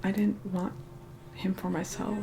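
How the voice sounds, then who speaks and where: Woman voicing a glamorous vampire woman, ASMR roleplay, breathy whispers, even delivery, grudgingly kind, loud music behind. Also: medium pitch. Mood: wistful, tender. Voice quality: soft, breathy, often whispered. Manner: slow, condescending, grudgingly kind. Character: aloof, reluctantly caring glamorous vampire woman.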